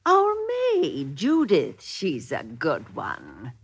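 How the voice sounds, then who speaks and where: Casting: a fond colonial wife for vintage radio drama, swooping and unhurried, quaintly theatrical. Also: female voice, very deep voice, wildly swooping pitch, slightly rough, lo-fi sound, heavily acted. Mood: fond, reminiscing. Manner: theatrical, quaint archaic phrasing. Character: affectionate, wistful country wife of colonial times. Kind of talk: old-time radio drama acting.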